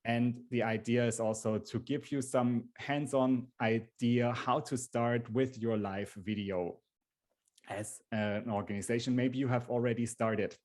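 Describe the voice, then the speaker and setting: A man's voice: medium pitch, steady tone, measured pace, a little mellow. German-accented man hosting a webinar. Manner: earnest, repeats and restarts phrases. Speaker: webinar host. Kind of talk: live webinar presentation.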